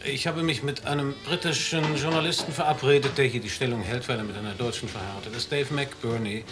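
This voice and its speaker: An actor playing an earnest American radio reporter, polite and a little hesitant, stammering now and then. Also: male voice, mid-range voice, fairly even intonation, brisk, music in the background, natural voice. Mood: wry. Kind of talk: 1980s radio play acting.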